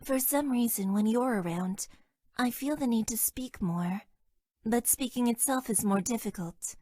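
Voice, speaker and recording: A young female mecha pilot from a video game, speaks in a high, slow voice. She is detached and deadpan, with formal, hesitant phrasing and a shy affection underneath. The recording is dry and close. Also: dry recording. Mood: shy, tender. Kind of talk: video game character voice lines.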